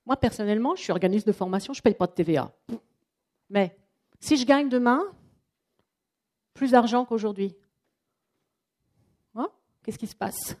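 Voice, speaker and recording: Chatty woman with a mellow voice. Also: fairly high pitch, moderate pace, pauses often. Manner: lively, chatty, acts out quotes. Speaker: trainer and coach. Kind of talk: live workshop presentation. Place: training room with an audience.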